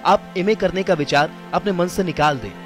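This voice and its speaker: Actor as high-voiced, admonishing male leader. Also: normal speed, faint music behind, lightly acted. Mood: firm, admonishing. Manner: admonishing, formal. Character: earnest, firmly disapproving male political leader and friend. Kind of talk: dramatized quotation in a documentary.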